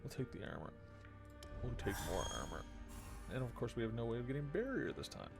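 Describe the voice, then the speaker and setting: Gravelly man muttering through a game stream. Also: medium pitch, sing-song, fairly quick. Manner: muttering, thinking aloud in short fragments. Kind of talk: casual let's play commentary. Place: small indoor room at home. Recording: loud game music behind voice. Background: video game music.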